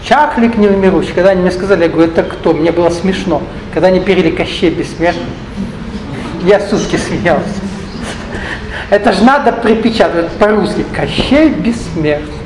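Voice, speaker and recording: A man with a notably high voice teaches a live audience, drifting between his points, joking aside and putting questions to the room. The recording is echoey, with a faint hum of the hall behind him. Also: brisk. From Russian-speaking, not Ukrainian. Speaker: spiritual teacher. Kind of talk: lecture to an audience.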